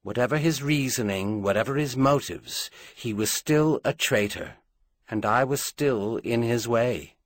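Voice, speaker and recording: A man's voice: mid-range voice, unhurried, dry recording, lightly acted. A man voicing a grieving but resolute young queen in an audiobook, speaking earnestly and emphatically in formal phrases. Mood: bitter, resolute.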